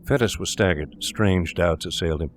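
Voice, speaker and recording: A man narrates a gothic literary tale in a deep, low voice. He reads as a storyteller from a script. Faint noise and ambience lie behind him. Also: moderate pace. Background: eerie ambience.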